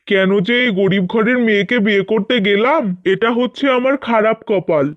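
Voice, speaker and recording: A man's voice: high voice, fairly quick, no room sound, dull audio, natural voice. A man playing a cruel, haughty young rich husband in a cartoon, speaking with contempt and threat. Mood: contemptuous, threatening. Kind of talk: scripted cartoon dubbing.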